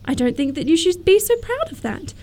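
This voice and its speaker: Woman acting a high-voiced, demure young girl. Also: very animated, a little fast, dark tone. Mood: prim, candid. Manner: demure, earnest, politely teasing. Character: prim, sweet, candid young girl of good family. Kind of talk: theatrical comedy-of-manners radio acting.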